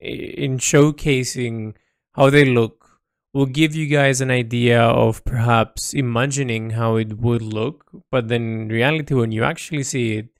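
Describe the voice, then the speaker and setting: A man speaks with a noticeably gravelly, creaky voice at an average pitch, recorded very dry. He delivers a reflective artist's audio log without a script, hesitating and repeating phrases as he works out his thoughts. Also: steady tone, unhurried, no room sound. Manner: hesitant, often repeating words and phrases as he thinks aloud. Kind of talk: reflective unscripted monologue.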